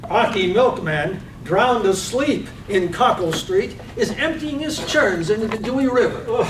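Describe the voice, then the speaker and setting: A woman narrating poetic scripted lines deliberately, phrase by phrase, in a staged radio play reading. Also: a little high, lively intonation. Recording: distant echoey room, faint noise.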